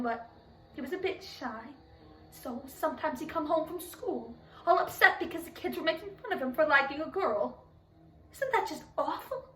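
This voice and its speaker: Grieving, doting mother, played by a woman, dramatic monologue. High pitch, halting and defensive, heard at a distance from the mic. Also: pushed and bright. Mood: fond, wistful. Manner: halting, pleading, stammering. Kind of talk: dramatic monologue for speech competition. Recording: echoey room, distant microphone.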